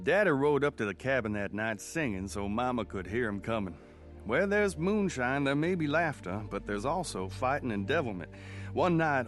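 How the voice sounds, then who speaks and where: Male actor as folksy Southern country narrator, mid-pitched storytelling over prominent music, radio drama. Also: lightly acted. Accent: rural Southern American. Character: folksy country son recounting his rural boyhood. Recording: music under the voice.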